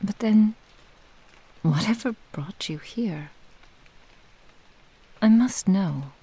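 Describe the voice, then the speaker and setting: A woman playing a possessive, doting fox-spirit witch in an intimate ASMR roleplay, teasing in formal old-fashioned phrasing and often dropping into breathy whispers. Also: mid-range voice, animated, very slow, warm tone, heavily acted, low-quality recording. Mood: teasing, delighted. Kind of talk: ASMR roleplay, intimate scripted monologue to the listener.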